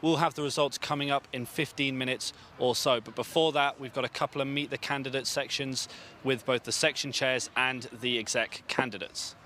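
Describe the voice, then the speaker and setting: A man co-hosting a live results broadcast with a sharp, bright voice. He keeps it light with self-deprecating banter, over some faint background noise. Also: mid-range voice, fairly even intonation, normal speed. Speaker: co-presenter.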